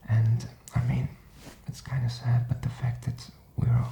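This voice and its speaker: Whispered male voice, strong creaky fry, hesitant reflective rambling, ASMR style, roomy echo. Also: medium pitch, monotone, unhurried, mellow, a little hiss or hum. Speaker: ASMR content creator.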